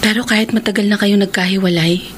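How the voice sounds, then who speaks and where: An actress in a radio drama playing a devoted woman who speaks softly, pleading and close to tears. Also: medium pitch, fairly even intonation, quick pace, slight background noise, lightly acted. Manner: soft, pleading, tearful. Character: Alice, a devoted woman ready to let go. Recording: radio drama with music behind.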